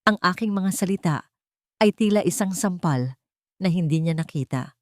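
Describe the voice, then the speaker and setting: A woman's voice: on the low side, animated, normal speed, dry recording. A woman reading a scripted dramatic story in the first person, as an audiobook narrator.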